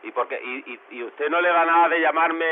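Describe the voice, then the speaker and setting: A middle-aged man with a Spanish accent and a high voice, heard down a tinny telephone line. He talks off the cuff, as the person receiving a call. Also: brisk. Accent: Spain. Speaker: prank call victim. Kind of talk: spontaneous phone conversation. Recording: tinny phone line, faint hiss.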